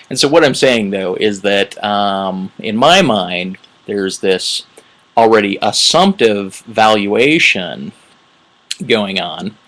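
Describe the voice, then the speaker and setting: Low, gravelly man for a rambling commentary read, slow and halting, wordy. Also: fairly bright tone, low-quality recording. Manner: halting, many fillers and restarts, frequent throat clearing; wordy, academic phrasing. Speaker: video blogger responding to another video.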